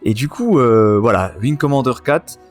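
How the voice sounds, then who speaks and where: A man hosts a casual retro gaming podcast. He talks in an informal, enthusiastic way at an average male pitch, with music playing faintly behind him. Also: expressive, normal speed, darkish tone. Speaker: host of a retro gaming radio show. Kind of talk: casual podcast chat about retro video games.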